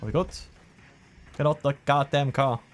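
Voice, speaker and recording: A man's voice: mid-range voice, fairly even intonation, moderate pace. Young man rambling casually over gameplay. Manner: joking, rambling. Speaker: game streamer.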